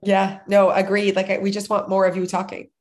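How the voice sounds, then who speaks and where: A woman's voice: mid-range voice, monotone, a little fast, a little mellow. A woman in her thirties co-hosting a podcast, chatty and laughing often, a little nasal and sick. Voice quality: slightly nasal. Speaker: podcast co-host. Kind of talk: casual podcast chat.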